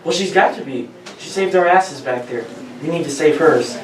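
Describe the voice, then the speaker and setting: A fairly high male voice in a roomy space, a man playing the loyal sidekick on a rocket crew. He is earnest and informal, reading from a retro space serial script. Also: moderate pace, slight background noise, natural voice. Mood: worried. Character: loyal, plain-spoken male crewman, the captain's sidekick. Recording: roomy, distant mic, chattering group behind.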